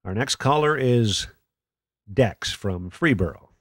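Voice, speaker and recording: A man's voice: medium pitch, expressive, takes it slowly, no room sound. A man playing a jaded, sarcastic call-in radio host in a radio play. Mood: sardonic. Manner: dry, sarcastic. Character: sardonic, jaded male conspiracy talk radio host. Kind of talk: radio play acting as talk radio.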